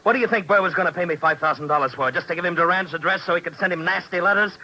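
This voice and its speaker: A desperate American hired gun, hard-edged and very forceful, 1950s radio crime drama. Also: male voice, high-pitched, racing, exaggerated voice, rough recording. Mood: desperate, defiant. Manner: forceful, defiant tough-guy talk. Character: desperate, bitter male ex-football player turned reluctant hired killer. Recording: old 1950s radio recording, faint music.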